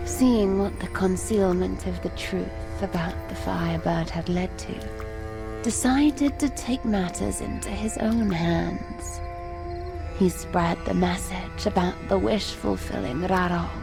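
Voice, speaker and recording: A woman's voice: mid-range voice, vocal fry. A woman playing an enigmatic, seductive female spirit in a fantasy game, sly, condescending and teasing. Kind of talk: dramatic fantasy game acting. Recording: music behind the voice.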